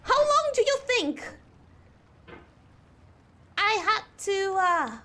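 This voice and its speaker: A young woman streaming live, chatting in an exaggerated put-on posh British accent with a theatrical, drawn-out delivery. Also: very high-pitched, very animated, sharp tone. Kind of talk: casual live-stream chat.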